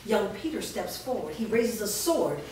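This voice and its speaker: A woman's voice: medium pitch, big pitch swings, moderate pace, darkish tone. A woman narrator reading scripted stage directions at a staged reading. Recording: echoey room, distant mic, clear background noise.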